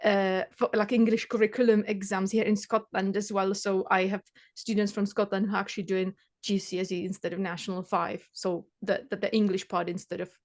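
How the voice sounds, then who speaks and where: Woman in her thirties, from the Czech Republic, Czech native speaker, chatty, lots of fillers and restarts, middle pitch. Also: little pitch movement, fairly quick, darkish tone. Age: 30s. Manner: chatty, many fillers and restarts, laughs often. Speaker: maths tutor. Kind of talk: casual interview chat.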